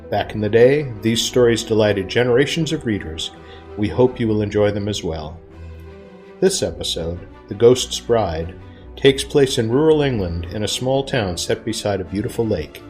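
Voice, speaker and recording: A man introducing a radio drama episode in a measured, friendly scripted manner. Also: lowish voice, darkish tone. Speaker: podcast host.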